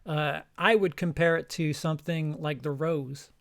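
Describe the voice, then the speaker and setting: Rambling gravelly man ranking films. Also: fairly high pitch, steady tone, a little slow. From lived in Denmark. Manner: rambling, many restarts, casual swearing. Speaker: channel host ranking films. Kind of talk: unscripted one-take film ranking.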